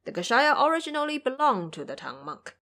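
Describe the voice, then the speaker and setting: A woman voicing a cunning dark male monster king who talks with courtly politeness, in a storybook reading. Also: sits high, sing-song, brisk, pitched above the performer's own voice, full character voice. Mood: puzzled.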